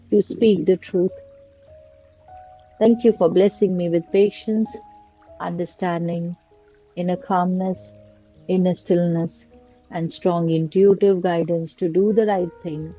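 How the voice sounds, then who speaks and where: A woman slowly guiding a prayer and meditation in a soft, repetitive litany of blessings. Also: on the low side. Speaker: meditation guide. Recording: dull lo-fi recording with faint music. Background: faint music.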